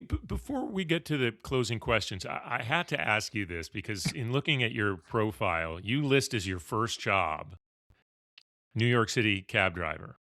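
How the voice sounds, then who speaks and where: A man's voice: on the low side, animated, moderate pace, bright, forceful tone, no room sound, studio quality. A man co-hosting a sports business podcast, asking interview questions with a few stammering starts. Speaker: podcast co-host.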